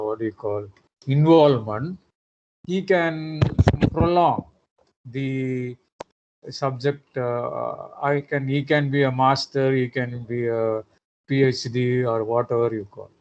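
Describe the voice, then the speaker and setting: A man giving an online lecture, pausing often on "uh" and asking rhetorical tag questions. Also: mid-range voice, darkish tone, dull audio, slight background noise.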